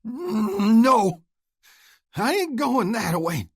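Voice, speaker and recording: A man's voice: high-pitched, big pitch swings, very slow, breathy edge, dry recording, studio quality, pitched above the performer's own voice, exaggerated voice. A man voicing a big desperate outlaw who roars in rage and grief. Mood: agonized. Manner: roaring, anguished, breaking into whimpers. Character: big desperate male outlaw, grieving and raging.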